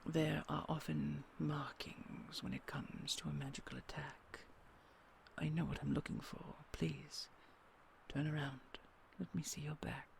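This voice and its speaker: A woman's voice: deep voice, level tone, a little hiss or hum, full character voice. A woman voicing a possessive female dragon queen who is fierce to rivals and tender to her treasure, often speaking in a whisper. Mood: soothing. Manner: slow, gravelly, whispered tenderness. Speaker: voice actor. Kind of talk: audio roleplay acting.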